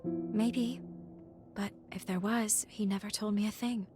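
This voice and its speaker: Actress doing a gentle caring young healer lady from a video game, earnest, clear wording, music under it. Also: medium pitch, steady tone, measured pace, close to an everyday voice. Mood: resentful, pained. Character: caring young female healer companion with a bitter family grudge. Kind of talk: video game cutscene voice acting.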